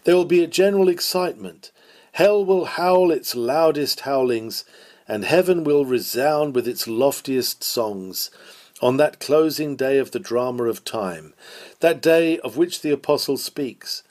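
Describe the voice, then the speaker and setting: A man's voice: medium pitch, measured pace, darkish tone. A man reading a scripted historical sermon aloud in a solemn, declamatory preaching manner.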